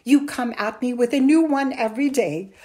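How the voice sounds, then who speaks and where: A woman's voice: fairly high pitch, animated, normal speed, a little mellow, voice pushed up, natural voice. A woman voicing a weary, scolding, impatient widowed mother in a story, stern and emphatic. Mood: exasperated, reproving.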